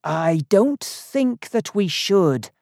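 A narrator voicing a stern, reluctant man in a storybook, speaking firmly and deliberately. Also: mid-range voice, animated, gravelly, darkish tone, dry recording, studio quality, pitched above the performer's own voice, heavily acted. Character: stern, reluctant man advising his friend.

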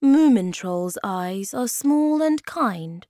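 A woman voices a male storybook creature in a high, lively voice. He is frank and plain-spoken. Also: takes it slowly, dry recording, clean studio sound. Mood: matter-of-fact.

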